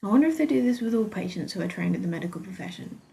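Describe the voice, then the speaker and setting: A woman's voice: medium pitch, lively intonation, racing, gravelly, warm tone. A British woman voicing a petulant, sarcastic young female hospital patient in a dramatic first-person story reading, exasperated and huffy. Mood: bored, irritated.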